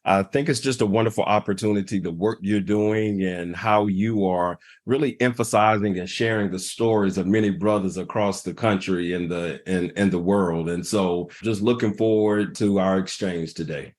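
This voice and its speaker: A man's voice: low voice, steady tone, moderate pace, few pauses, darkish tone. A middle-aged man speaking as a podcast guest, earnest and heartfelt, sometimes repeating words as he builds a point. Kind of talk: reflective interview conversation.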